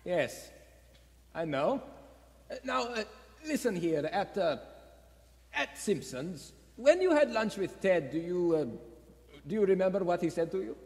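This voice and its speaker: An actor playing a nervous, shifty middle-aged husband, hesitant and stammering, in a radio play. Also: male voice, high-pitched, sing-song, measured pace, long pauses, darkish tone. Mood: suspicious, evasive. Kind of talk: stage radio play acting. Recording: echoey theatre hall.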